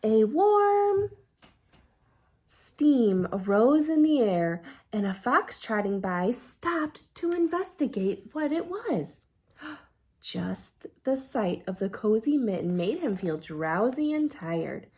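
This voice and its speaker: A woman reading a children's storybook aloud like a teacher at storytime, expressive and engaging young listeners. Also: a little high, slow, slightly rough. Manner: expressive, drops to hushed excited asides with audible gasps.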